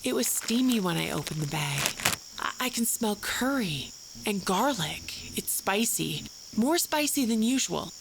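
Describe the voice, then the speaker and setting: A woman's voice: mid-range voice, lively intonation, unhurried, creaky, very noisy. An actress playing an anxious woman who recounts a frightening night in detail in an audio drama, with a snippy edge. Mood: recalling. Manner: detailed, snippy, increasingly fearful recollection. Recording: prominent music under the voice. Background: music.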